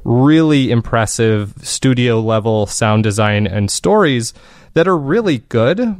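A man's voice: medium pitch, clear, resonant tone, no room sound, studio quality. A man speaking conversationally as an interviewed podcast creator, explaining his process in a thoughtful, casually phrased way.

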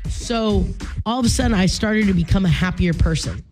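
A woman's voice: medium pitch, fairly quick, over music. A woman hosting a morning radio show in a playful, informal, chatty way, a native Spanish speaker talking in English. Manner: playful, informal, conversational asides and slang.

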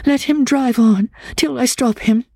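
A woman's voice: on the high side, a little slow, vocal fry, darkish tone, dry recording, voice pushed up. A woman voicing a frightened young woman who pleads breathlessly and vehemently in an audiobook reading. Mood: pleading.

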